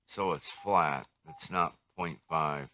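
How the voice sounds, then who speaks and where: Very deep-voiced older man, casually reviewing gear. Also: bright, forceful tone. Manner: unhurried, musing, talks to himself. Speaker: radio hobbyist reviewer. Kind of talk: casual hands-on product review, thinking aloud.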